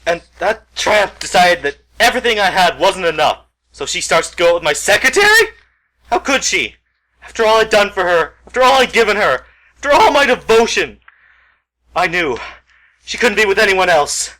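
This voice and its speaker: A man's voice: high-pitched, sing-song, moderate pace, sharp tone, full character voice, rough recording. A man playing an obsessive, jealous ex-military mechanic in an amateur radio play, melodramatic and emphatic. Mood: enraged, possessive. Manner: melodramatic, emphatic, repeating himself.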